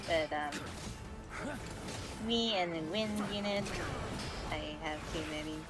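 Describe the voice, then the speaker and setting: A woman's voice: low voice, slow. A woman livestreamer giving casual, offhand commentary while she plays a video game. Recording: loud game music behind. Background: game music.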